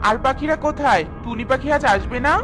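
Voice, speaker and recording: A woman's voice: sits high, fast, fairly bright tone. Lady doing a kindly cartoon village aunty, hearty n indulgent, music in the back. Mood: welcoming. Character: kind village auntie who feeds birds. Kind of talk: children's fairy tale cartoon acting.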